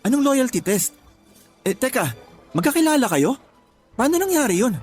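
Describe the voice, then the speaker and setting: Young boyfriend, pleading, defensive, confused, radio drama, faint music behind. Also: male voice, very high voice, sing-song, normal speed, husky, full character voice. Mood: shocked, confused. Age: young adult. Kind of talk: Tagalog radio drama acting.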